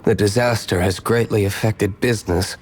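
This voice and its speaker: Man as a low-voiced, brooding tavern owner. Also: fairly even intonation, normal speed, a little mellow, slight background noise, close to an everyday voice. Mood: dry. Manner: dry, terse, composed. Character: cool, brooding young tavern owner, guarded and curt. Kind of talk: anime video game voice acting. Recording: faint music behind.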